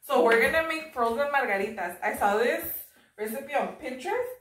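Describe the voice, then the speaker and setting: A woman's voice: sits high, expressive, a little fast, fairly bright tone. A young woman with a Mexican American accent, chatting and giggling while she makes drinks for her vlog, slipping into Spanish now and then. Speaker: vlog host. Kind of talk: casual cooking chat. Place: home kitchen, indoors. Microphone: camera across the room. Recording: echoey kitchen, from far off.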